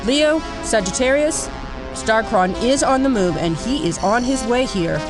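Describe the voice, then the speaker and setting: A woman's voice: fairly high pitch, expressive, measured pace, over music. A woman playing an authoritative general who briefs a hero team in an audio drama. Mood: urgent. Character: brisk commanding general of a hero task force.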